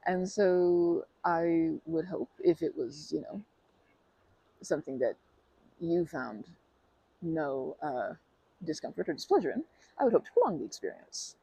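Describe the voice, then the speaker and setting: A woman's voice: sits low, very animated, measured pace, long pauses, breathy edge, no room sound, low-quality recording. A woman in an ASMR roleplay who talks to the listener in a rambling, bashful and playful way, full of fillers and restarts. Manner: playful and earnest, laughing softly.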